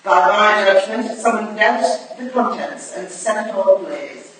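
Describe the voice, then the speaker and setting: A shrewd, commanding Victorian lady detective acted by a woman speaks in formal, clipped, imperious phrases. It is a staged reading, caught from far off in a very echoey hall with clear background noise. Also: mid-range voice, lively intonation, unhurried, natural voice. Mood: coolly analytical. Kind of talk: staged reading of a period drama. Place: live staged reading in a roomy indoor venue.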